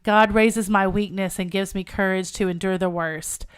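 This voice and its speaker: A woman's voice: medium pitch, fairly even intonation, normal speed, smooth, voice pushed down, natural voice. Woman voicing a meek, mournful condemned young woman. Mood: solemn resignation. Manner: solemn, earnest.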